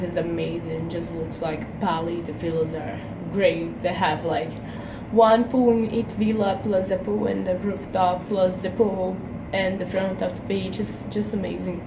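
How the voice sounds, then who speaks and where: A woman's voice: mid-range voice, noisy background. A young woman from Brazil with a Brazilian Portuguese accent, chatting casually to camera in a hotel room about her trip and filling her talk with "like". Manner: chatty, frequent "like" fillers. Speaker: vlogger. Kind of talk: casual travel vlog to camera. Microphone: camera at arm's length.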